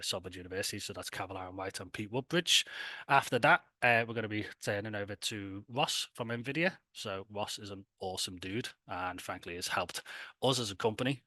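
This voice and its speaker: A man's voice: low voice, sharp tone, no room sound. A man with a Scouse accent hosting an event in a casual, chatty, enthusiastic and colloquial way. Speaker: event host and presenter. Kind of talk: casual, informal event presentation.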